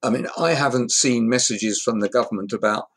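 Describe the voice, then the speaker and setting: A man as an interview guest, speaking in a measured, thoughtful way with frequent pauses and fillers. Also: mid-range voice, fairly bright tone, husky. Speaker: hospitality industry guest expert. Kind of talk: conversational interview.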